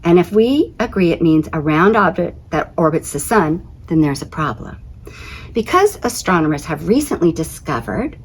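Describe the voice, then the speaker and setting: Woman librarian doing storytime, husky lowish voice, really animated reading. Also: rough recording. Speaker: school librarian reading aloud. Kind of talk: expressive children's storybook read-aloud.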